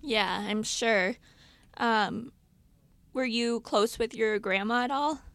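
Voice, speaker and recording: A woman's voice: on the high side, slow, a bit bright, no room sound. A woman hosting a casual podcast interview, asking questions in a friendly, chatty way with many fillers. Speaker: podcast host.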